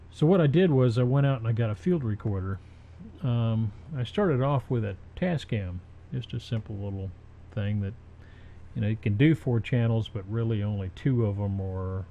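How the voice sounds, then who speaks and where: Low, mellow, halting man explaining informally. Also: highs cut off. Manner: rambling, halting, trailing pauses. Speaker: presenter of an audio tutorial. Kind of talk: informal explanatory talk with personal anecdotes.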